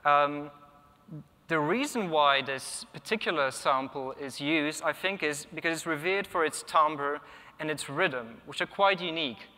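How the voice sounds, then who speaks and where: A man's voice: on the high side, unhurried, bright, forceful tone. A young man whose first language is Polish, giving a technical conference talk from a lectern, thoughtful, with many ums and restarts. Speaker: conference speaker. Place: large conference hall, at a lectern. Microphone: lectern microphone. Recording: long hall reverb.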